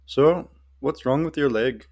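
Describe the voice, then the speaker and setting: A man's voice: mid-range voice, measured pace, darkish tone, voice pushed up. A man voicing a concerned, teasing and talkative travelling companion in a fantasy story. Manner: chatty, teasing, insistent.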